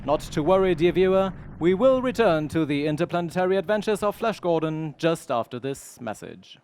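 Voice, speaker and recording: High-pitched melodramatic male radio announcer. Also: expressive, moderate pace. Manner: melodramatic, pompous showman. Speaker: narrator and announcer. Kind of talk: 1930s radio announcer, scripted narration.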